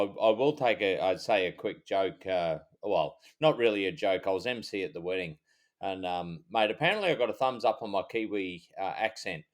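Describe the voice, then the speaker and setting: A middle-aged Australian man, a former cop co-hosting a podcast, chatting in a rambling, halting, easygoing way. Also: on the low side. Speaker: podcast co-host, former police officer. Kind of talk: casual chat.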